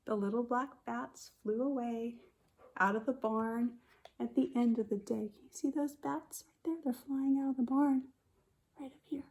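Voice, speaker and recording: A woman kindergarten teacher reading a picture book aloud to young children in a simple, expressive way, speaking to them directly. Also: on the high side, moderate pace. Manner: addresses children directly with questions and prompts.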